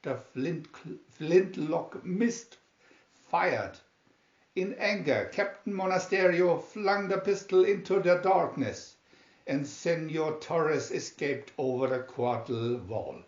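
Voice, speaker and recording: A man reading a storybook as dramatic narration, deliberate and theatrical, in a dull, roomy recording. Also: on the high side, expressive.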